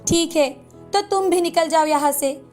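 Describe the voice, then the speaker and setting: A woman's voice: very high voice, measured pace, a little mellow, soft background music. A woman voicing a haughty, dramatic middle-aged mother-in-law in a cartoon moral story. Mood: angry, scolding.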